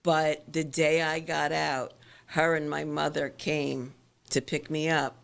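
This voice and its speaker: Woman, middle-aged, Florida accent with New York twang, gravelly, halting, podcast guest. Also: sits low, flat delivery. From South Florida. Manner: halting, many ums, emotional at times. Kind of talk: candid personal storytelling.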